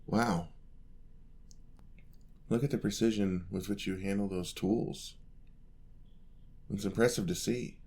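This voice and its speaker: A sweet young paladin apprentice, acted by a man, with a low voice and a slow, unhurried pace. He is polite, earnest and gently encouraging, speaking straight to the listener as in a fantasy audio roleplay. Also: fairly even intonation, breathy edge, exaggerated voice. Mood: admiring, helpful.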